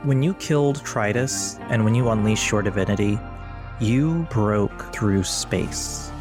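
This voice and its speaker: A man narrating a tabletop actual play as game master, with measured, deliberate storyteller phrasing. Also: on the low side, music in the background.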